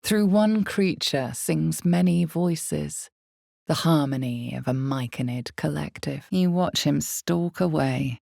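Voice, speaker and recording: A very low-pitched female narrator of a dark fantasy game, with a heavily gravelled, creaking voice and a slow pace. She is solemn and brooding, drawing out the suspense. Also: no room sound, studio quality, heavily acted. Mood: ominous, brooding. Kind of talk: scripted dramatic second-person fantasy game narration.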